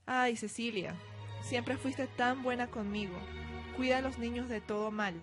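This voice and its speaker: A woman's voice: on the high side, fairly even intonation, a little slow, over music, close to an everyday voice. A woman acting a resolute, tender noblewoman in a student radio drama, earnest and dramatic. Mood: sorrowful. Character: resolute, tender noblewoman and mother facing danger.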